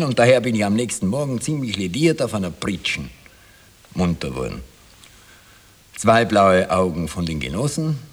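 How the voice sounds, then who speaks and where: A man's voice: on the low side, breathy edge. An actor playing a wry Viennese man who tells of his youth in ironic, colloquial radio drama narration.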